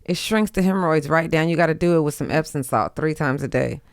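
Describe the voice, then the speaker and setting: A woman's voice: low voice, quick pace, clean tone, dry recording, studio quality. A woman guesting on a podcast, speaking casually with blunt, slangy frankness. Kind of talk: casual chat.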